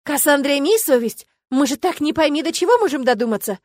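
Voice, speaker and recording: A woman acts out a combative young witch sticking up for her own. She speaks high and emphatically, bossy and indignant, in a dry fantasy audiobook recording. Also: expressive, a little fast, no echo. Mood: exasperated. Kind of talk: dramatised audiobook.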